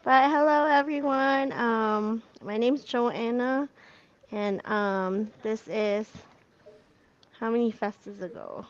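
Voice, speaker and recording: A woman's voice: a little high, slow, muffled recording. A woman guest on a video call, gushing and giggly as she talks.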